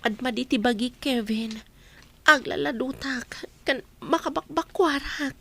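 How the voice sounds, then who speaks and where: A woman acting in an Ilocano radio drama as a young, gentle married woman, speaking with a Filipino Ilocano accent. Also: high voice, unhurried, exaggerated voice. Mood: familiar. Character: young married woman Joy, gentle, respectful granddaughter-in-law.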